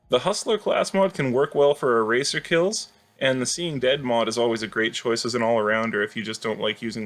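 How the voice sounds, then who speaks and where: A man's voice: medium pitch, a bit bright. A man explaining a game build in a casual, instructional way, as a gaming video creator doing commentary.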